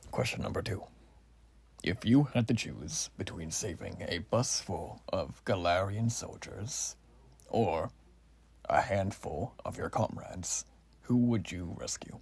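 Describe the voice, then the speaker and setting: Man playing a slow, low, hushed revolutionary soldier. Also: animated, exaggerated voice. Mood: polite, probing. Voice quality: soft, breathy, often near whisper. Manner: formal, old-fashioned, slow deliberate phrasing. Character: zealous, folksy revolutionary war soldier examining a new recruit. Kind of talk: ASMR roleplay exam.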